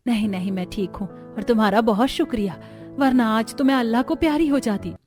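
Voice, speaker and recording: A woman voicing a gentle, earnest older lady in a cartoon story. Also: fairly high pitch, fairly quick, soft background music, lightly acted. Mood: grateful. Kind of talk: Hindi cartoon story dialogue.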